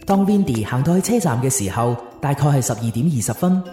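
Male narrator played by an actor for a romance radio play, measured storytelling with lively swings, faint music underneath. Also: mid-range voice, natural voice. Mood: dramatic storytelling. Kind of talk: scripted radio drama narration. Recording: roomy reverb, faint music bed.

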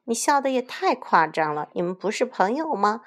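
A woman's voice: fairly high pitch, very animated, normal speed, fairly bright tone, voice pushed up. A woman voicing a kind, reasonable young female chicken in a children's story, polite and soothing. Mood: puzzled.

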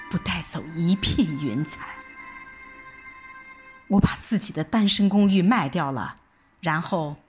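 A woman's voice: lowish voice, darkish tone. A woman giving an emotive, dramatic first-person narration of a scripted story, like an audiobook reader. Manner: emotive, theatrical delivery.

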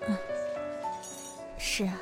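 A woman playing a soft-spoken, tender young woman in a radio drama, gentle and polite. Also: very slow. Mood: polite, subdued. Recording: music behind the voice.

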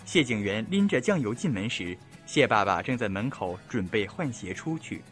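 A man's voice: lowish voice, sing-song, moderate pace, a bit bright. A man reading scripted narration for an audio drama. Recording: music playing behind.

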